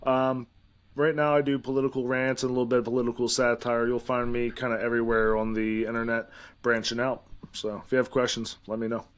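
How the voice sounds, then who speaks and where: A veteran appears as a podcast guest with a middle-pitched voice. He talks in a dry, wry way. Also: little pitch movement, a little fast. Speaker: guest, veteran and political commentator. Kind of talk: casual podcast interview.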